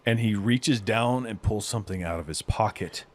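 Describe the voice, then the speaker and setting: A man's voice: lowish voice, normal speed. A man narrating a tabletop game as the game master.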